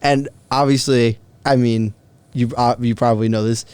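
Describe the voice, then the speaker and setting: Casting: male podcast host, clean smooth voice, casual and inquisitive, everyday slangy phrasing. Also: medium pitch, fairly even intonation, moderate pace, darkish tone, dry recording. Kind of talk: casual interview chat.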